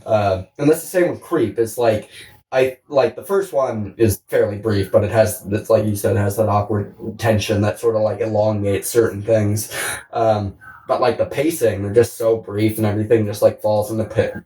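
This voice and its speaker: A man's voice: lowish voice, fairly even intonation, moderate pace, warm tone. Echoey, distant man rambling casually. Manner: rambling, profane, frequent use of "like". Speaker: podcast co-host. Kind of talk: casual film discussion. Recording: echoey room, distant microphone.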